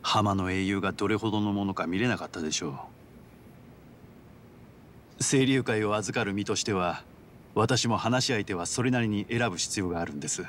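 Game gangster executive, male actor: lowish, measured, formal, coolly calculating, faint rumble behind. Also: a bit bright, close to an everyday voice. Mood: coolly polite. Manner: formal, courteous, measured business-like speech. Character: polite, calculating male yakuza underboss, coolly composed. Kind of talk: dramatic video game voice acting.

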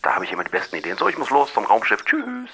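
An actor playing a cocky, slangy punk oddball in an audio drama, with a raspy voice and swaggering manner. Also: male voice, medium pitch, wildly swooping pitch, fast, pushed and bright, a little hiss or hum, full character voice. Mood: cocky, casual. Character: cocky, laid-back slangy male advice-giver, eccentric punk. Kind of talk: German children's audio drama acting.

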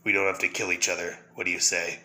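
Guy playing a brooding masked vigilante from a comic, deep tense voice, stern and deliberate. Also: level tone, sharp tone, rough recording. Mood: grave, pleading. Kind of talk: fan dramatic reading of a comic.

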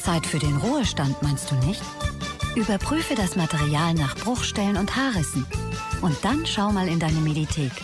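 An actress playing a chirpy, pushy automated health-shop message in a sci-fi audio drama. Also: female voice, fairly low pitch, big pitch swings, moderate pace, over loud music. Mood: salesy.

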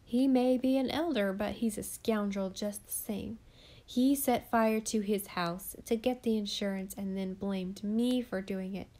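Brief: a woman doing a spiteful eccentric gossip for a storybook, loud, scornful, voice a touch higher than her own. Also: steady tone, measured pace, close to an everyday voice. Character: sharp-tongued gossiping eccentric woman in church.

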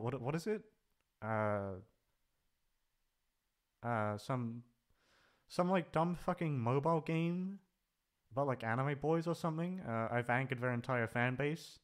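A man chatting casually in a rambling, hesitant way, swearing freely as he goes. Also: mid-range voice. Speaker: ASMR voice actor, channel creator. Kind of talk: rambling casual farewell chat.

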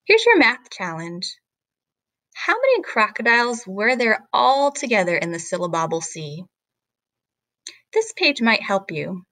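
Woman doing a kids story read-aloud, slow n expressive, really dry close sound. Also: medium pitch, dry recording. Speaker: teacher reading aloud. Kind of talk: picture book read-aloud for children.

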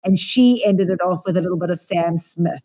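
A woman's voice: on the low side, a little fast, dark tone. A woman in her forties with a South African accent, co-hosting a casual chat over a video call, enthusiastic and full of ums. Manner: chatty, lots of ums, enthusiastic. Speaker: co-host. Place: small room at home. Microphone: webcam on a video call.

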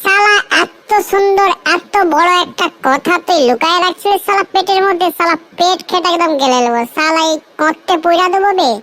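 Woman as a blustering high-pitched cartoon buddy. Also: moderate pace, few pauses, fairly bright tone. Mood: threatening. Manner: crude, bullying slang. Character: blustering, lustful male cartoon friend, quick to threaten. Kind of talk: comic cartoon dialogue.

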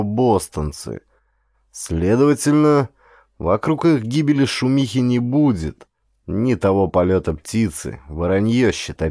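A man reading an adventure audiobook as a scripted, expressive dramatic narration. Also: medium pitch, no room sound, clean studio sound.

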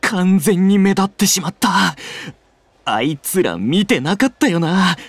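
A man's voice: sits high, big pitch swings, measured pace, no echo, full character voice. An actor playing an earnest, hot-blooded young man who is easily flustered, in a Japanese anime-style voice drama. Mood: sheepish, muttering.

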